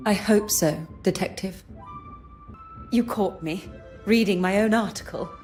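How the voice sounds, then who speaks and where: Composed society woman acted, soft music behind. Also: medium pitch, measured pace, close to an everyday voice. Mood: pleased, polite. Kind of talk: video game voice acting.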